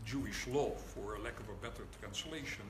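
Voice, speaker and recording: An older man with a Dutch accent gives a public lecture as a rabbi, speaking in a wry, deliberate way and pausing for the audience's laughter. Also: on the low side. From Netherlands. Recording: echoey hall, audible room noise.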